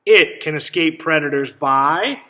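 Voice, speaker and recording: Man, high-pitched voice, bright tone, children's read-aloud, teacherly questions. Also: unhurried. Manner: instructive, checks understanding with simple questions. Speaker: teacher reading a picture book aloud to children.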